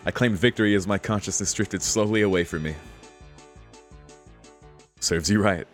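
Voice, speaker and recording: A man with a low-pitched voice reads a visual novel aloud as a casual gaming stream. He drops slangy, exclamatory reactions and swearing between the narration. Faint music plays behind him. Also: normal speed. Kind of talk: casual playthrough, reading aloud with off-the-cuff reactions.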